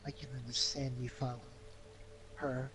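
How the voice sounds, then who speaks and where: A man playing a tabletop roleplaying game, speaking casually in character and haltingly thinking aloud. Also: mid-range voice, level tone, over music.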